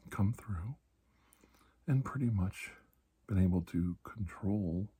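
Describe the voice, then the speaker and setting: Guy doing a calm doctor for asmr, low soft husky voice, slow and soothing, slips into whispers. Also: heavily acted. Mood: calm, pondering. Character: calm, reassuring male doctor treating alien skin disease. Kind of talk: soft-spoken ASMR roleplay.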